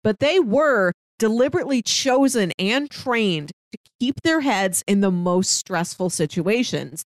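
A woman's voice: mid-range voice, expressive, measured pace, no room sound, studio quality. A woman co-hosting a podcast, discussing a film casually and explaining her points with emphasis. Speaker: podcast co-host reviewing a film.

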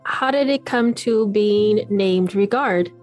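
A woman's voice: mid-range voice, takes it slowly, a little mellow, faint music behind. A woman hosting a podcast, reading the guest introduction and asking questions in an upbeat, encouraging way. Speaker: podcast host. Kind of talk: podcast interview.

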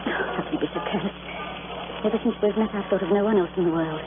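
An actress playing a bored, scornful, unfaithful wife in old-time radio drama, theatrical, sardonic and impatient. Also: female voice, lowish voice, fast talker, lightly acted. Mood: anxious, passionate. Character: bored, scornful, adulterous wife, passionate with her lover. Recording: tinny vintage radio recording with music.

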